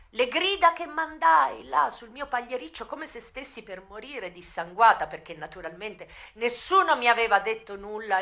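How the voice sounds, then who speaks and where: A woman giving an expressive, theatrical first-person narration of a memoir, moving between hushed and emphatic delivery. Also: fairly high pitch.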